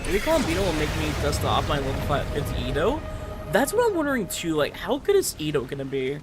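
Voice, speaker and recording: Lively higher-voiced man streaming a game. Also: quick pace. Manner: excitable, informal, slangy. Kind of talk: casual livestream commentary and chat. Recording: game music behind the voice. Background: video game music.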